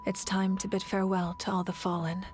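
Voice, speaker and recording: A woman's voice: medium pitch, level tone, lightly acted. Woman playing a solemn, resolute female leader over music. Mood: tender, reflective. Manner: measured, earnest, formal delivery. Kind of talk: dramatic video game voice acting. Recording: orchestral game music behind.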